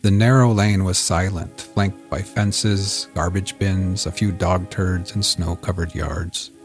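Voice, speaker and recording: Low-voiced man narrating a crime story over music. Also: steady tone, slow pace. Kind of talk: scripted audiobook-style fiction narration. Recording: music bed under the voice.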